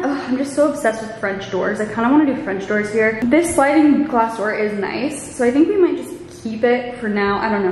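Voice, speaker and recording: A woman's voice: fairly high pitch, slight background noise. Chatty young woman in echoey empty rooms. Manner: chatty, lots of "like" and "you know". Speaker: vlogger giving a home tour. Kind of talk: casual walk-through vlog. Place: empty house, bare rooms. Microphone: handheld camera at arm's length.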